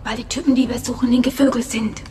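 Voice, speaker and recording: An actress playing an anxious, questioning companion on a dangerous expedition in a radio drama. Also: female voice, a little high, fairly even intonation, fairly quick, background noise, close to an everyday voice.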